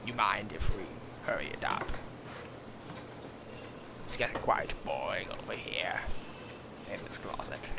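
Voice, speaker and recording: Bored hushed narrator, acted by a man, slow whispered deadpan, noisy recording. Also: low-pitched, gravelly, heavily acted. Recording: noisy background hiss.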